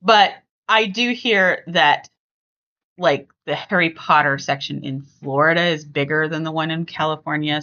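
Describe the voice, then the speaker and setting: A woman's voice: low-pitched, sharp tone, highs cut off. A middle-aged American woman hosting a podcast in a casual, chatty, rambling way. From US West Coast. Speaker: podcast host.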